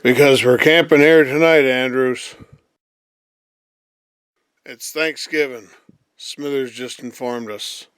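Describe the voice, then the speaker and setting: Stern, commanding wealthy patriarch. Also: male voice, mid-range voice, steady tone, slow, long pauses, fairly bright tone, clear, resonant tone, no room sound. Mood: stern, resolute. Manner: formal, commanding, unyielding. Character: stern, authoritative wealthy father leading survivors. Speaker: actor in an audio drama. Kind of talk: radio drama acting.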